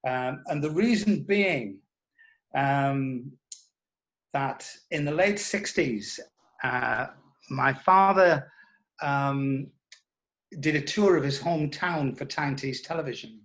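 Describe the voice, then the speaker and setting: A middle-aged man giving a reflective interview, telling anecdotes in a hesitant, measured way. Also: medium pitch, fairly bright tone, rough recording. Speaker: writer interviewed about audio drama scripts.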